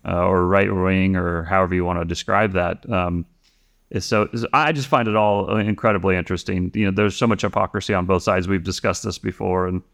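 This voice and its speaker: A man with a low, deep voice talks as a guest on a podcast. He rambles a little, stopping and restarting his sentences in a relaxed conversational way. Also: fairly quick, vocal fry. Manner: rambling, many restarts and fillers. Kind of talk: casual conversation.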